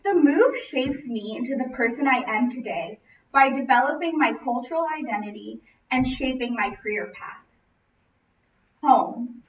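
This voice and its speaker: High-voiced young American woman, roomy distant recording. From Los Angeles, United States. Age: young adult. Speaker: student speaker. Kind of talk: prepared narrative speech. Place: classroom, indoors. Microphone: distant camera microphone. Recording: roomy, distant, muffled, noisy camera audio.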